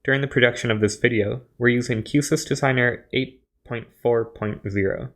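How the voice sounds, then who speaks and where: A man's voice: medium pitch, steady tone, normal speed, a bit bright. Man narrating a scripted tutorial. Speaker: tutorial presenter.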